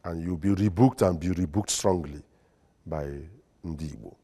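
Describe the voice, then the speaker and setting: Nigerian guy, lawyer type, deep and mellow, slow careful formal answers. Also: slightly rough. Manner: formal, deliberate, emphatic. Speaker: guest, lawyer and secretary general. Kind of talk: studio interview. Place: TV studio.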